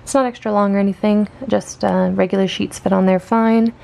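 A woman's voice: medium pitch, fairly even intonation, brisk, gravelly, dark tone. A young woman from Canada, a student, chatting casually with ums and small laughs while walking through her campus and dorm with a handheld camera. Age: young adult. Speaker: student vlogger. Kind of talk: casual walkthrough narration. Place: outdoors on campus, then indoors in a dorm room.

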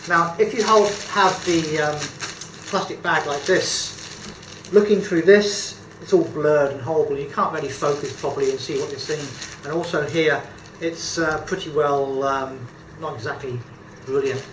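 A British man in his fifties talking through a hands-on camera tutorial, his voice a touch high for a man. He rambles in a friendly way with frequent ums and uhs. The camera mic sits a few feet off in an echoey room with a faint hum of ambience behind him. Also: steady tone, normal speed. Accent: British English. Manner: chatty, hesitant with many fillers, good-humoured. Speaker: photography tutor. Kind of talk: casual demonstration tutorial. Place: indoor room at a table. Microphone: camera mic a few feet away.

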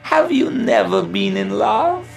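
A man doing a high, taunting female vampire. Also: sing-song, takes it slowly, creaky, soft background music, exaggerated voice, poor audio.

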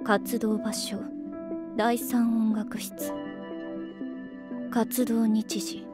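A soft-spoken anime girl in voice-over. She reads slowly and evenly in a fairly high voice, with clear music behind her. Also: little pitch movement. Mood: calm. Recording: prominent background music.

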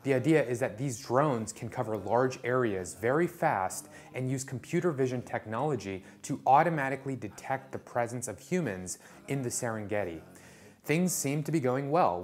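A man hosting a video, narrating and interviewing with an upbeat, eager manner. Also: mid-range voice.

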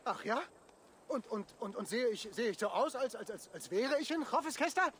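A high-pitched, nervous, pompous monk. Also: male voice, expressive, normal speed, fairly bright tone, a little hiss or hum. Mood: blustering, flustered. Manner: stutters when nervous, blustering. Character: nervous, boastful monk posing as a pompous thane. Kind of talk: dramatic video game dialogue.

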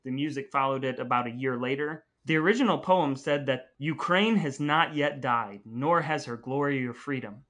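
A man's voice: medium pitch, a bit bright. A man co-hosting a podcast, chatting casually in a playful, jokey way. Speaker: podcast co-host.

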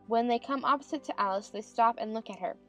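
A woman narrates a dramatized audiobook from a script. Her voice sits a bit high, with faint music underneath. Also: quick pace, fairly bright tone. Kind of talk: scripted narration of stage directions.

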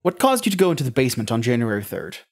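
An actor playing a cool, probing interrogator in an audio drama, speaking in a dry, deliberate, mocking way. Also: male voice, mid-range voice, wildly swooping pitch. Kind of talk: audio drama acting, naturalistic.

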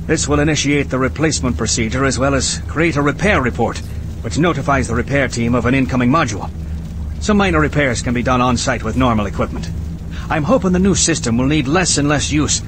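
A man's voice: medium pitch, a little fast, background noise, close to an everyday voice. An actor playing a matter-of-fact male maintenance technician recording a work log for a game. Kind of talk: scripted game audio log.

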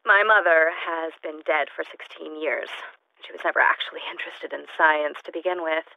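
An unhinged scientist, thin phone-like sound. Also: female voice, sits low, normal speed, gravelly, no room sound. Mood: clinical, unhinged. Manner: formal, verbose, clinical, detached. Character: eccentric, unstable woman scientist recording lonely logs. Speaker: video game character actor. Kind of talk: in-character audio log monologue. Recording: thin, band-limited recorder sound.

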